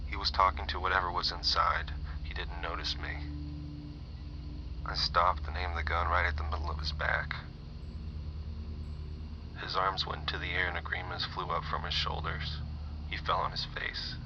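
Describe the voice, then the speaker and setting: Male actor as a deep-voiced, paranoid soldier whispering on a dull, hissing tape. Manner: tense hushed whisper. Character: paranoid young male army specialist on tape. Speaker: soldier recording a warning tape. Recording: muffled noisy tape recording.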